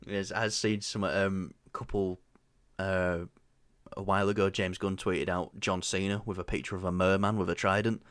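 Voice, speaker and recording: A man's voice: low voice, little pitch movement, moderate pace, fairly bright tone, clean tone, no echo, clean studio sound. A young man with a Yorkshire accent co-hosting a movie review show, chatting casually with lots of fillers and restarts. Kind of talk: casual chat.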